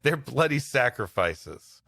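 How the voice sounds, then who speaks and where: A man's voice: medium pitch, very animated, slow, creaky, bright, forceful tone, no echo, clean studio sound. A man co-hosting a comedy podcast, moving between reading an old-fashioned story aloud and light banter. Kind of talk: scripted story reading mixed with casual banter.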